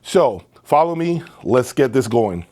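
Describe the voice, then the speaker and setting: Emphatic man reviewing gear, mid-pitched voice. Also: sing-song, a little slow, warm tone. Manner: emphatic, repeats phrases for stress. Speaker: host of an audio equipment review channel. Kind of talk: casual product review talk to camera.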